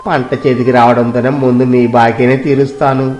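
A man's voice: medium pitch, flat delivery, a little fast, darkish tone, pitched below the performer's own voice, natural voice. A man voicing a poor, humble village farmer in a story, speaking meekly and deferentially as a pleader. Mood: humble pleading. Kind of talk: scripted Telugu moral story dramatization. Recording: roomy echo, music behind.

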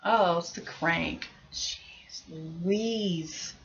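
A woman's voice: lowish voice, drawn-out words, highs cut off. A young adult woman giving a casual, informal product review, thinking aloud as she goes in a small room at home. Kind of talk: casual unboxing review chat.

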